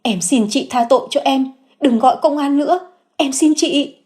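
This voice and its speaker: A woman voicing a remorseful middle-aged sister-in-law who begs for forgiveness in a high, tearful voice. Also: a little slow, a little mellow. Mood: begging. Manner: begging, shrill and tearful.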